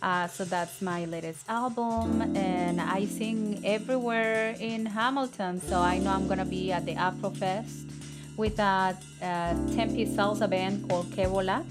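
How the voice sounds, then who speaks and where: A middle-aged woman from El Salvador, a singer-songwriter talking earnestly in a casual conversation, with many halting fillers. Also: medium pitch, over loud music.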